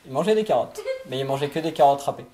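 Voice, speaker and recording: A man's voice: medium pitch, animated, fast talker, heavy background noise. A man of twenty-one, a camp counselor, answering interview questions casually and hesitantly, with lots of filler sounds.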